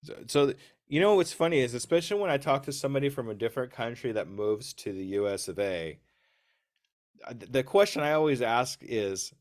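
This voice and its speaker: Male podcast host, mid-pitched, informal and rambling, chatting with a guest in a dry, close recording. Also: animated, brisk, darkish tone, no room sound. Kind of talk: casual conversational interview.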